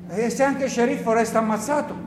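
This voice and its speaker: A man's voice: very high-pitched, fairly even intonation, fast. A man playing an anxious, naive young partisan in a theatrical radio play, hesitant and stammering as he asks questions. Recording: roomy echo with faint noise.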